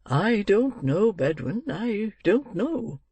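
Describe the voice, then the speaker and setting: A man voicing a brisk, kind-hearted old gentleman from a storybook, emphatic and given to repeating himself and questioning. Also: high voice, very animated, slightly rough, no echo, voice pushed up, heavily acted. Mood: tender, fussy. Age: older.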